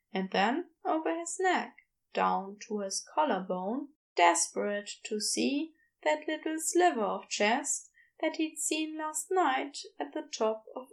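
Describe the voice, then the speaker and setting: A woman's voice: a little high, vocal fry, low-quality recording. A woman reading scripted fiction as a narrator. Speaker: podfic narrator.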